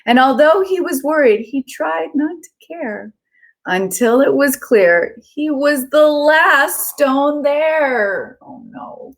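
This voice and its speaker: A woman children's author chatting casually and reading aloud to children, with an excitable manner. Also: high-pitched, slow. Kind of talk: casual chat and animated read-aloud for children.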